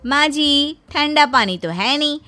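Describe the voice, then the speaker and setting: A woman's voice: high-pitched, animated, measured pace, bright, forceful tone, faint music behind, exaggerated voice. A woman voicing a defiant, cheeky young daughter-in-law in a moral story, making flippant excuses to dodge chores. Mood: cheekily evasive. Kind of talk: Hindi moral story acting.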